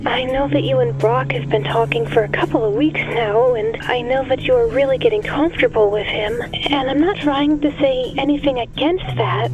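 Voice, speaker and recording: A woman's voice: a little high, dull audio, natural voice. A woman playing a worried young woman leaving a hesitant, confiding voice message in an audio drama. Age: young adult. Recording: music behind the voice.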